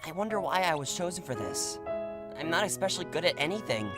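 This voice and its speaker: A man's voice: fairly high pitch, very animated, fast, over loud music. A man playing a lonely, self-doubting boy, downcast and unsure, in an anime game fandub. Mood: glum.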